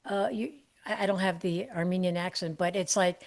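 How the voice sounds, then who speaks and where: A woman hosting a comedy podcast, chatting casually, animated and quick to laugh and exclaim. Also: on the low side. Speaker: podcast host.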